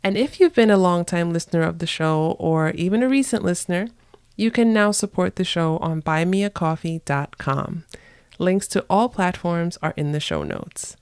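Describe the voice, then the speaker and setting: A woman hosts a podcast with eager, excited energy. Her voice sits a little low. The sound is dry and close, with no room around it. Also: moderate pace, smooth, no echo. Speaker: podcast host. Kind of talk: podcast interview and scripted intro.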